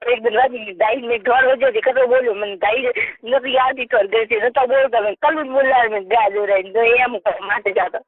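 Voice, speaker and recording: A woman's voice: a little high, fairly even intonation, brisk, no echo. A young woman with a rural Rajasthani Marwari accent, complaining in colloquial speech during a private phone conversation. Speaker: caller, niece confiding in relative. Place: recorded telephone call. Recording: muffled narrow telephone line.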